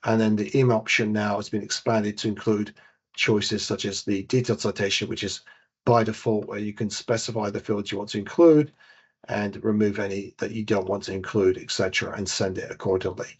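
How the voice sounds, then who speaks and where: A man with a British accent leading a software demonstration webinar as a database trainer. He explains each step while he clicks through the screens and corrects himself now and then. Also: fairly low pitch, fairly even intonation, a little fast, husky. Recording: dull lo-fi webinar audio.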